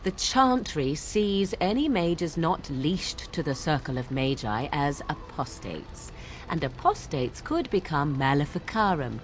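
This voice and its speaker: Sly witch, low-ish voice, lively pitch, teasing and formal, music playing. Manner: wry, teasing, archly formal. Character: sly young female witch, mocking and aloof. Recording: game audio with music behind.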